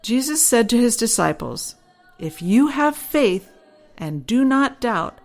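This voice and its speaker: A woman voicing a patient, devout mother who explains things instructively in a children's bedtime storybook. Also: medium pitch.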